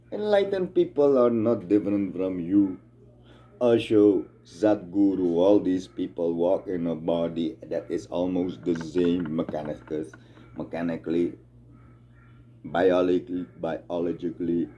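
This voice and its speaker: A man's voice: low voice, measured pace, lo-fi sound, a little hiss or hum. A man with a Dutch accent, a native Dutch speaker, giving a blunt, emphatic, rambling spiritual talk in English. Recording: loud guitar music over the voice. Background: loud guitar music.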